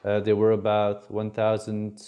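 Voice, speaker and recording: A man's voice: fairly low pitch, monotone, measured pace, dull audio. A young man hosting a podcast, asking questions in a rambling, casual way. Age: young adult. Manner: rambling, with fillers and restarts. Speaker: podcast host. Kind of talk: casual interview.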